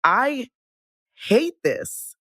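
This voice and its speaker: A woman co-hosting a podcast in casual chat, with a naturally hoarse, raspy voice and an animated, sassy way of talking. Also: on the high side, drawn-out words, no room sound, studio quality. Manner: animated and sassy, with playful exclamations. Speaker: podcast co-host and teacher.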